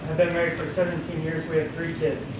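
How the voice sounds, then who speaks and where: A man's voice: on the high side, level tone, quick pace. Married man rambling in an echoey room. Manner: rambling, candid, many restarts. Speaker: audience member giving feedback. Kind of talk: casual feedback in a group discussion. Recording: echoey room from a distance with noise.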